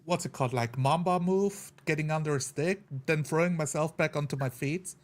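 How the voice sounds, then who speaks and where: Male tabletop player, somewhat higher pitch, informal conversation, repeats phrases for emphasis. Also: moderate pace. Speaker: tabletop roleplayer on a gaming show. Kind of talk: casual chat.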